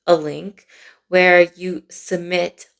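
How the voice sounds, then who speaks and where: A woman vlogger chatting casually and playfully through a personal story, sounding a little sleep-deprived, with animated asides. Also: fairly low pitch, gravelly. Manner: playful, animated asides with giggles and drawn-out words.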